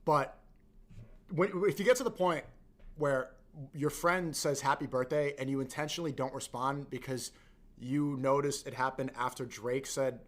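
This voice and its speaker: A man's voice: medium pitch, normal speed. Blunt slangy male streamer. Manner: blunt, slangy, says "bro". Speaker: live streamer reacting to clips. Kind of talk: casual live-stream reaction. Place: Manhattan.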